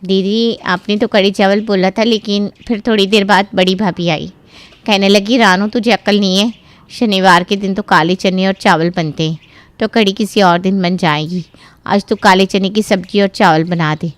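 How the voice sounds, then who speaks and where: A woman's voice: medium pitch, fairly even intonation, normal speed, dry recording, lightly acted. A woman voicing an obedient housemaid in a cartoon story, deferential and apologetic as she explains herself.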